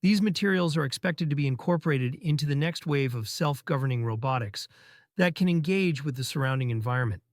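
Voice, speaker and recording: A man's voice: fairly high pitch, brisk, dry recording, clean studio sound. A man giving a scripted read-aloud narration as a model for test practice. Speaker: test-practice narrator reading sample passages.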